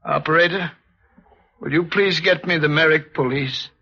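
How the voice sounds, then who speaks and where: An actor playing a distraught, guilt-ridden murderous husband in a halting, anguished 1940s radio drama style. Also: male voice, on the high side, bright, forceful tone, breathy edge, heavily acted. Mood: grim resignation. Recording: muffled old radio broadcast, music behind.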